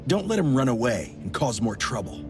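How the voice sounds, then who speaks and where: An actor playing a brusque, guarded, grumpy man with a soft spot in an anime-style game, speaking curtly. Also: medium pitch, big pitch swings, moderate pace, over music. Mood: gruffly caring. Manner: curt, blunt.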